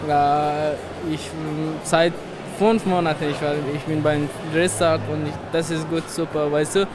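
Young man from Afghanistan, halting German. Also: on the high side, little pitch movement, background noise. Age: teens. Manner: halting, simple German with pauses. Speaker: trainee at a workshop. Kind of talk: interview answers. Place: busy indoor fair hall.

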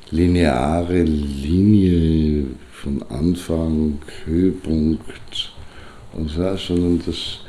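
A man playing a reflective figure who muses slowly and haltingly on life and death in an audio drama. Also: sits low, dark tone. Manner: pensive, halting, philosophical. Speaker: speaker in a fictional collage of personal memories. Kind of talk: slow reflective spoken monologue.

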